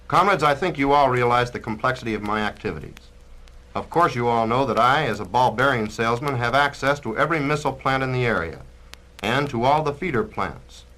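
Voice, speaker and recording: A man's voice: mid-range voice, expressive, fairly quick, bright, forceful tone, poor audio. A man playing a boastful, self-important communist union activist, pompous and oratorical, on a dramatized spoken-word record.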